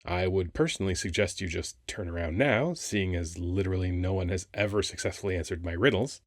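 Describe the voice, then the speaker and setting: A dry, close recording of a man playing a sphinx guarding an ancient temple in an ASMR roleplay. His voice sits low, and he rambles in a casual, halting way. He comes across as wry, weary and flirtatious. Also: no room sound. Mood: matter-of-fact.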